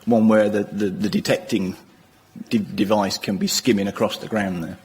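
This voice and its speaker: A man taking part as a contestant, presenting his idea in an earnest, measured way. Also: on the low side, fairly even intonation, darkish tone. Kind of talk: reading a pitch, then answering questions. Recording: reverberant hall, faint background noise.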